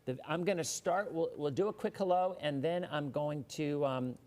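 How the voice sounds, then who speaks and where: A man's voice: a little high, moderate pace, darkish tone. A man hosting a live weather show, chatting loosely with a guest and greeting viewers by name. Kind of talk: casual live TV interview chat.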